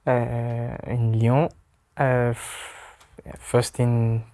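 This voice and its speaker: A young man in his twenties with a French accent, answering interview questions in halting English, searching for words and laughing easily. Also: medium pitch, level tone, mellow. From France. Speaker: footballer. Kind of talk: casual interview.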